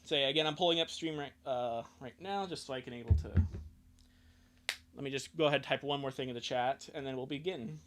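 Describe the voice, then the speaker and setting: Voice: medium pitch, a little fast, fairly bright tone. A livestreamer chatting casually and rambling, easily sidetracked and self-deprecating.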